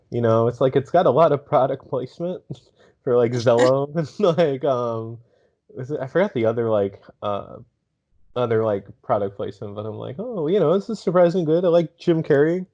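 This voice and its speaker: A man co-hosting a podcast in casual chat, rambling in a halting way full of fillers. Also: mid-range voice, darkish tone, highs cut off. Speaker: podcast co-host.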